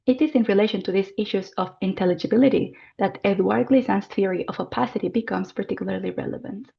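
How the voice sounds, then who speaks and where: A woman presenting an academic paper in a formal, measured reading style. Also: mid-range voice, gravelly, lo-fi sound. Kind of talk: reading a prepared conference paper.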